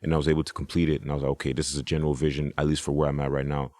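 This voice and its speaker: A man's voice: very deep voice, flat delivery, racing, a little mellow, no echo, clean studio sound. A man, a hip hop artist, talking casually as a podcast guest, rambling with restarts and "you know".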